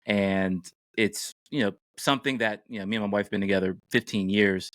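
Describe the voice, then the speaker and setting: A middle-aged man with an American accent talks casually as a podcast host. His voice sits fairly low, and the sound is dry, with no room echo. The delivery is conversational and informal. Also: fairly even intonation, fairly quick, a bit bright. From United States.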